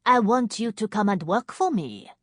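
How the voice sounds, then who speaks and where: A woman voicing a glamorous, scornful French woman in her late fifties, drawling slowly with a thick French accent. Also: mid-range voice, sing-song, dry recording, clean studio sound, pitched above the performer's own voice. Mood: haughty. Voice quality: slow, drawling, contemptuous.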